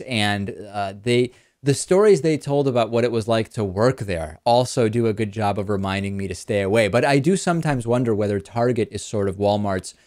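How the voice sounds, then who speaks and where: A man born in Argentina whose first language is Spanish, hosting a talk show in a relaxed conversational way and answering listener questions. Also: lowish voice. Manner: conversational, repeats words when thinking. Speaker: host. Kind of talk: casual chat, reading listener questions.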